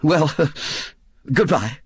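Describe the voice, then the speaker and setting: Nervous young newspaper man, acted by a male narrator: courteous, halting, slightly high, storybook style. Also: lively intonation, slightly rough, no room sound, clean studio sound, heavily acted. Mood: flustered. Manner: hesitant, stammering, courteous.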